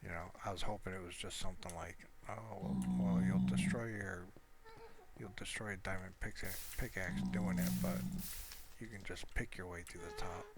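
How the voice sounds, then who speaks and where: Man, very low voice, lively intonation, casual hesitant game commentary, thinking aloud, loud background noise with clicks. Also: normal speed. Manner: informal, hesitant, thinking aloud, mildly profane. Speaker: gamer recording gameplay commentary. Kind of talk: casual rambling gameplay commentary.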